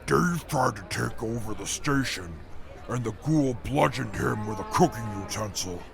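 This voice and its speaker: A man playing a haughty, bookish super mutant in an audio drama, with a gravelly voice and formal, pompous diction. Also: mid-range voice, lively intonation, moderate pace, music in the background. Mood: condescending.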